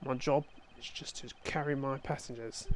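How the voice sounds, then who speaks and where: A man's voice: mid-range voice, fairly even intonation, moderate pace, a little hiss or hum, natural voice. A man voicing a folksy, easygoing wagon driver character in a video game dub. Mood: folksy, unhurried.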